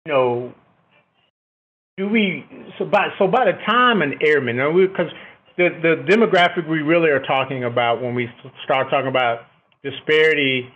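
Booming middle-aged man on a phone-like line. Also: normal speed. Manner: reflective, often checks with "right?". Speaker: military officer, podcast guest. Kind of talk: conversational interview answers. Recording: thin, phone-like band-limited line.

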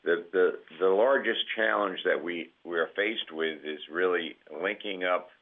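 Very deep-voiced man with a cold. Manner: measured, with many "uh" pauses and repeats. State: has a cold, scratchy and nasal. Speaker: senior army enlisted leader, guest. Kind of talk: conference call remarks. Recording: tinny phone line.

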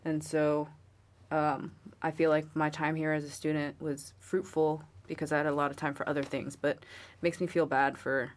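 A woman's voice: deep voice, flat delivery, moderate pace. A young woman from New York, a guest in a casual interview, talking about herself in a chatty, wry way with many fillers. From New York, USA. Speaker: guest, Marine Spanish linguist.

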